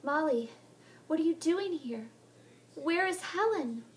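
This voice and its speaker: A woman's voice: sits high, animated, unhurried, fairly bright tone, voice pushed up, heavily acted. A woman voicing a distraught young girl who pleads, sobs and shrieks. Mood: frantic.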